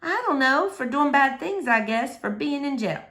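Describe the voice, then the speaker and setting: A woman's voice: high-pitched, animated, moderate pace, fairly bright tone. A woman reading a children's novel, voicing an earnest, curious young girl with an innocent, polite, questioning manner. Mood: worried. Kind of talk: children's novel read aloud.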